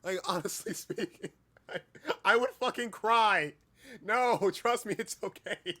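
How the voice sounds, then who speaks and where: A man's voice: high-pitched, a little slow, sharp tone. A man hosting a casual livestream chat, speaking informally, with repeated words and occasional swearing. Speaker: livestream host and hobby voice actor.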